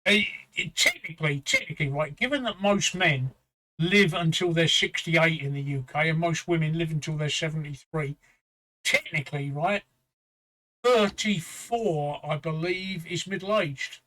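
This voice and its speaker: Bright-toned man in his fifties chatting. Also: fairly high pitch, a little slow, low-quality recording. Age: 50s. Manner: blunt, swearing, rambling storytelling. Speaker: streamer host. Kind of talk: casual chat.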